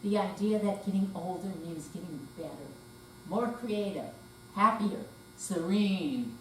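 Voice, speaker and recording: A woman's voice: medium pitch, measured pace, a bit bright. An older woman giving a dramatic stage reading as an amateur readers theater performer. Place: senior center hall, indoor live performance. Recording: very echoey room, distant, faint hum.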